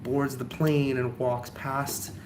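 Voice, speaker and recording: A man's voice: medium pitch, level tone, takes it slowly, noisy background. Slightly nasal young man rambling casually. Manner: rambling with many ums and likes. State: sick, slightly nasal from a cold. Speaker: travel vlogger. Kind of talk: casual vlog chat.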